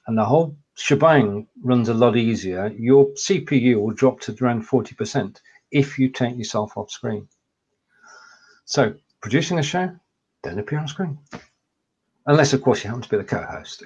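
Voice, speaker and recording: A man's voice: mid-range voice, darkish tone, breathy edge, highs cut off. An older man with a Lancashire-Cumbrian hybrid accent, co-hosting a casual video call and explaining things methodically. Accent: Lancashire and Cumbrian hybrid English. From England. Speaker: co-host. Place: home room with pictures on the wall. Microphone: webcam or desk mic on a video call.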